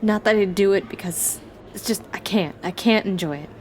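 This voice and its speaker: Guarded young woman played by an actress, medium pitch. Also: normal speed, a little mellow, a little hiss or hum, natural voice. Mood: confessional, weary. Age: 20s. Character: guarded, defensive young woman with complicated love life. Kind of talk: naturalistic film drama dialogue.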